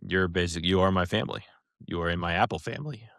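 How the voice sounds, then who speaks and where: Deep-voiced man chatting casually. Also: fast talker, fairly bright tone, no room sound, studio quality. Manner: informal, slangy, emphatic hyperbole. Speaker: podcast co-host. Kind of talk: casual podcast chat.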